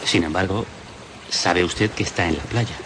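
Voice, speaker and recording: A man's voice: low-pitched, moderate pace, noisy background, close to an everyday voice. An actor playing a patient, enigmatic man in a Spanish radio play, speaking with a Castilian accent. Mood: patient, playful. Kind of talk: Spanish radio theatre acting. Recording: music behind the voice.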